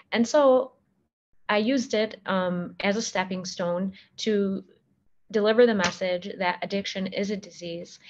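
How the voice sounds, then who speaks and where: A woman in her thirties whose first language is Arabic, earnestly giving a talk on addiction over a video call, often pausing on "um". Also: medium pitch. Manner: earnest, with frequent um fillers. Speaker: guest speaker on addiction. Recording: slightly muffled video call audio.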